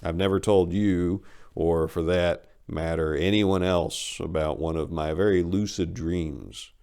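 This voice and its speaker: A man giving an expressive, theatrical reading of a first-person short story as its narrator. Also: low-pitched, unhurried, smooth.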